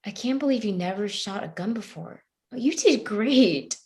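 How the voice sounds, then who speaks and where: A woman's voice: medium pitch, expressive, normal speed, darkish tone, natural voice. An actress playing an earnest, eager, apologetic woman radical in a staged play reading. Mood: amazed. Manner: earnest, solicitous.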